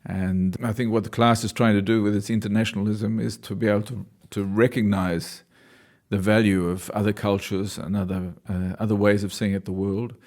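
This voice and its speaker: Low-voiced thoughtful man from Australia. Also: level tone, moderate pace, a little mellow. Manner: thoughtful, hesitant, restarts sentences. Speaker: dancer and choreographer, class leader. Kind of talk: reflective interview answers.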